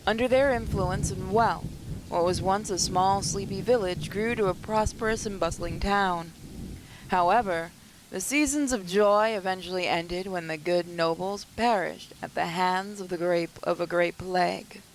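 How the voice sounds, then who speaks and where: A let's play gamer with a slightly hoarse, under-the-weather voice, reading narration aloud with joking, self-mocking asides. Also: medium pitch, a little slow, slight background noise, low-quality recording. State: slightly hoarse, getting sick. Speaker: gaming YouTuber.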